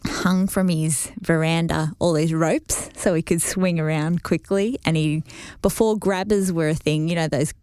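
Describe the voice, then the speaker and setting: A woman's voice: deep voice, steady tone, a little slow, hardly any pauses, a little mellow, clear, resonant tone, no room sound, studio quality. A woman co-hosting a podcast, telling a rambling family story in casual chat. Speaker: podcast co-host.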